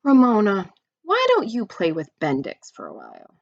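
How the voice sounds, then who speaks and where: A woman's voice: mid-range voice, huge pitch swings, moderate pace, creaky, no echo, exaggerated voice. A woman voicing a put-upon, pleading nine-year-old big sister from a storybook, on a dull recording. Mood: weary pleading.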